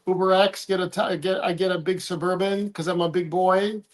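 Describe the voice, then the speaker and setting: A man's voice: high voice, little pitch movement, normal speed. A man from the United States co-hosting a casual livestream, rambling, trailing off and restarting his sentences. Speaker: livestream co-host.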